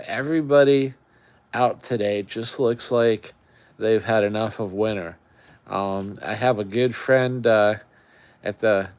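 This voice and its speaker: Rambling middle-aged man, smooth voice. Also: fairly low pitch, darkish tone, no room sound. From Great Lakes region, US. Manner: rambling, chatty, many fillers. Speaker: camping channel host. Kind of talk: casual live stream chat.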